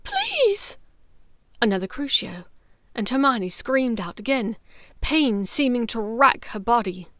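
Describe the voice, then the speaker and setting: A woman's voice: fairly high pitch, measured pace, bright, forceful tone. A woman reading dramatic fiction as an audiobook narrator.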